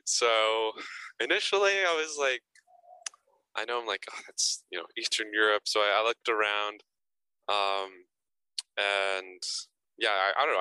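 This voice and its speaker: A young man answers interview questions in a casual, halting way, stumbling over and repeating his words. His voice sits low yet sounds very bright and edgy. The recording is close and dry. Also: clean tone, no room sound, rough recording. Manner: hesitant, repeats words, frequent fillers. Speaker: actor guest.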